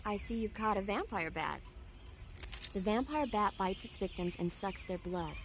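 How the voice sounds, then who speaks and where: A woman's voice: mid-range voice, animated, moderate pace, noisy background, natural voice. Woman acting an earnest, knowledgeable support adviser. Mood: informative. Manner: informative, earnest. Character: knowledgeable female radio support adviser, earnest film buff. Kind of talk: video game voice acting.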